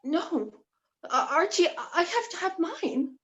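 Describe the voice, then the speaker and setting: A woman plays a seductive con artist in a stage comedy. She gushes and coaxes in a high, slow, scheming voice, captured in a slightly muffled recording. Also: very animated, fairly bright tone, full character voice. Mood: manipulative, pleading. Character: Wanda, seductive, scheming romantic grifter. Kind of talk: comic stage readers theater acting.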